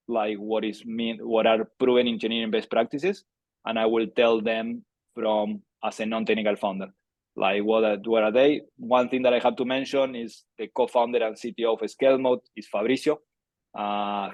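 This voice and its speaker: A man's voice: fairly low pitch, a little mellow, dry recording. A man in his thirties from Argentina, with a strong Argentine accent, presenting a webinar in a chatty, filler-filled way. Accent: Argentine Spanish. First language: Spanish. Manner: conversational, many fillers and restarts. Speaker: startup founder presenting a webinar.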